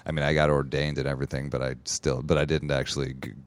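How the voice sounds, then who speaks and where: A man with a raspy voice, a band singer joking around in a casual podcast chat. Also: rumbling low, little pitch movement, fast talker, no room sound. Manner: jokey, self-mocking.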